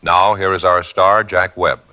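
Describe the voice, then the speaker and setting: A man's voice: sits low, flat delivery, a little slow, pushed and bright, dull audio. A man announcing and reading an advertisement in an emphatic, punchy way. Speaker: radio announcer.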